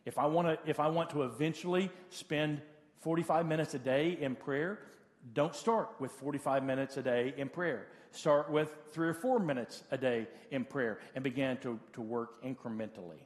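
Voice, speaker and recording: A man in his late forties preaches with a medium-pitched voice that rings through a large, echoing church space. He sounds like a pastor talking directly to people, offering practical advice in a conversational style. His delivery is halting, with phrases said again for emphasis. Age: late 40s. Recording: hall reverb of a large room.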